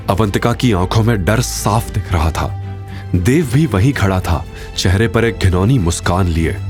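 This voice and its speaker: A man giving dramatic scripted narration as the storyteller of an audio drama. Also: sits low.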